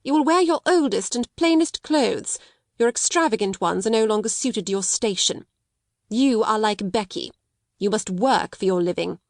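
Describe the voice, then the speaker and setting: Woman doing a sharp, domineering headmistress. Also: mid-range voice, expressive, normal speed, no echo, studio quality, lightly acted. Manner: cold, sharp, scolding. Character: stern domineering headmistress, middle-aged woman. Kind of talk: audiobook character reading.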